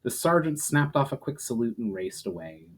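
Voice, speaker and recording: A man's voice: medium pitch, very animated, normal speed, slightly rough. Man giving a dramatic fantasy reading. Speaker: author reading own fantasy fiction. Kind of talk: dramatic audiobook narration.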